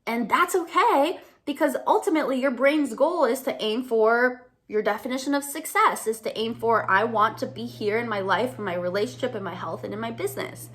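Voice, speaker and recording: A woman's voice: a little high, fairly quick, few pauses, fairly bright tone. A woman coaching business owners on mindset, talking to camera in an upbeat, conversational way. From United States. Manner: upbeat, conversational, addresses viewers as "you guys". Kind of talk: teaching to camera.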